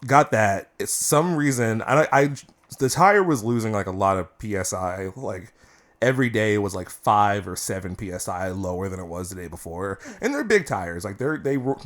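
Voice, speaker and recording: A man's voice: on the low side, lively intonation, moderate pace, a bit bright. A man co-hosting a podcast, telling stories in a casual, playful and profane way. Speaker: podcast co-host. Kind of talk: casual chat.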